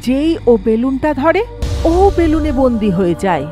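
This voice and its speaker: A woman's voice: sits high, very animated, a little slow, dark tone, faint music behind. A woman narrating a scripted horror tale in a dramatic storytelling style.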